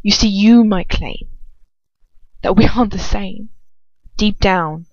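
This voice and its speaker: A proud, venomous wizard nobleman , acted out by a woman reader, spits his bitterness in a story reading. His temper is cruel and quick, and the recording is dry and a little muffled. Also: mid-range voice, darkish tone, no echo. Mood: grim, intense. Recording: dull, lo-fi, dry home recording.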